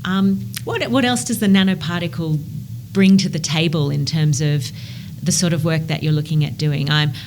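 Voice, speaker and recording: A woman hosting a podcast interview, with a somewhat low-pitched voice. She asks curious, friendly follow-up questions in a relaxed conversational tone, over a faint background hiss. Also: moderate pace. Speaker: podcast host.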